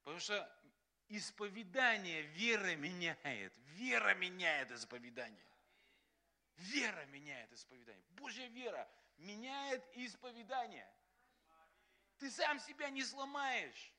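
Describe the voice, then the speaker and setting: A man preaching a sermon as pastor, animated and acting out quotes, often laughing. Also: high-pitched, a little slow. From Russia.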